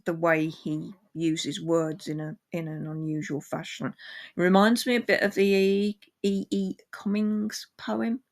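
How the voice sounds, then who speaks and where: A woman's voice: on the low side, little pitch movement, unhurried. A woman talking about books and poetry informally, as a reader and guest. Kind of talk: poem reading and informal book chat.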